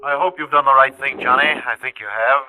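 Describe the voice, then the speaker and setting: A man's voice: mid-range voice, brisk, lightly acted. A man acting as a polite, concerned insurance executive with a courteous, businesslike manner in a 1950s radio drama. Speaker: actor in a radio detective series. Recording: faintly noisy vintage radio recording.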